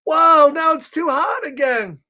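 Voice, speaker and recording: A man voicing a fussy, excitable male pigeon in a children's picture book, exclamatory and playful. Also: very high voice, unhurried, voice pushed up, exaggerated voice. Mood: fussy complaining.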